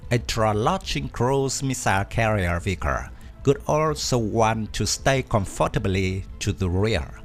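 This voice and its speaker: Man narrating with a lively voice. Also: mid-range voice. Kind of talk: scripted documentary narration. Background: faint background music.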